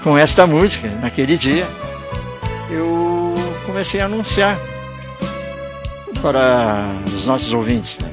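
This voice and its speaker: Um locutor de rádio que relembra uma despedida no ar, contando com emoção e em frases curtas. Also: male voice, fairly high pitch, lively intonation, slow, long pauses, over music. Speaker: radio announcer. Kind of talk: recounting a memory.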